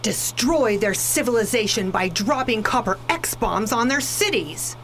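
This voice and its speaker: A woman's voice: on the high side, expressive, unhurried, fairly bright tone, soft background music. A woman playing a fierce, blunt, proud alien warrior wife in a space opera radio drama.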